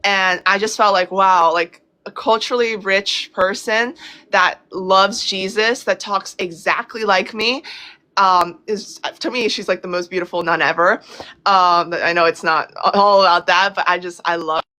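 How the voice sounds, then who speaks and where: A woman's voice: mid-range voice, steady tone, a little slow, pushed and bright. A young woman from Brazil, a guest in a casual interview, talking effusively with many fillers and restarts.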